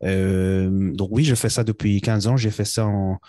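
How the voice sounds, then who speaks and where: A man's voice: lowish voice, fairly even intonation, a little slow, mellow, no room sound, studio quality. A man from Belgium, a consultant guest in an interview, talking casually and hesitantly with many fillers and restarts.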